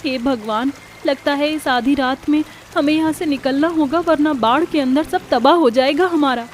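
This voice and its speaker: A woman's voice: high voice, moderate pace, slight background noise, pitched above the performer's own voice, natural voice. A woman voicing a poor, anxious but practical village wife in dramatized storybook dialogue. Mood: alarmed.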